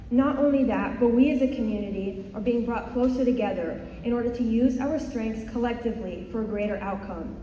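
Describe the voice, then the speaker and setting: A woman's voice: a little high, mellow, a little hiss or hum. Earnest young woman speaking in a hall. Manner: earnest, measured. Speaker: student candidate giving a speech. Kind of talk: prepared speech read aloud. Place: school assembly hall. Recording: echoey hall, distant mic.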